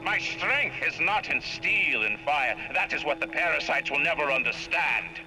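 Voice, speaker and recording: A man's voice: fairly high pitch, lively intonation, pushed and bright, faint music behind, low-quality recording. A man playing a grandiose, embittered city tyrant in a video game, speaking like a theatrical orator. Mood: defiant, booming. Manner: oratorical, theatrical, deliberate phrasing.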